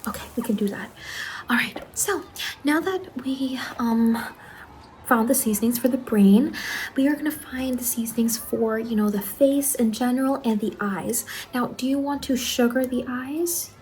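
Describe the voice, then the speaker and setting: A woman plays an eager young saleswoman at a macabre fair stall in an ASMR roleplay. Her voice is gentle and a bit high, with hesitant, apologetic customer-service politeness. Some noise sits behind her. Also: normal speed, close to an everyday voice. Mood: gently recommending.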